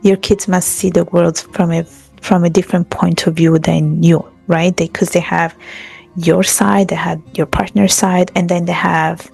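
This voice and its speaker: Gravelly low-voiced woman host. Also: level tone, measured pace, a little mellow, faint music behind. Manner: conversational, hesitant, with fillers. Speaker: podcast host. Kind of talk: podcast interview.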